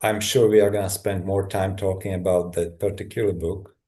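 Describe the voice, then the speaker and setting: A middle-aged man from Czechoslovakia with a Czech accent, a guest telling his story in a halting, thoughtful way with many restarts. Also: deep voice, little pitch movement, dark tone. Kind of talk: personal story in a conversation.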